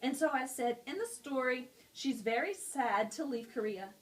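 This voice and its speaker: A woman's voice: high voice, animated, normal speed. A woman teacher at a school, explaining a picture-book lesson to students in a friendly, explanatory way.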